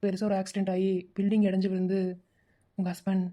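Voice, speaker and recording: A woman playing a hesitant caller in an audio drama, speaking gravely and carefully. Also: mid-range voice, flat delivery, unhurried, dark tone, lightly acted. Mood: somber hesitant. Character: hesitant, somber caller breaking bad news.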